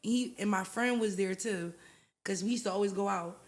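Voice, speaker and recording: This is a young woman from West Philadelphia with a clear Philly accent. She sits as a guest on a podcast and tells her story in casual, animated talk. She fills it with "like" and acts out what other people said. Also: medium pitch, normal speed. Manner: animated, acts out voices in her stories, lots of "like".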